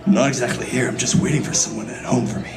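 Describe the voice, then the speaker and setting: Male performer as moody, grumbling young warrior, easily irritated, mid-pitched, comic fan fiction, roomy echo. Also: fairly even intonation, very fast, faint music behind, natural voice. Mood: grumbling, embarrassed. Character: sullen, embarrassed young swordsman, easily irritated. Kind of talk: dramatic fan fiction reading played for comedy. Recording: echoey room microphone.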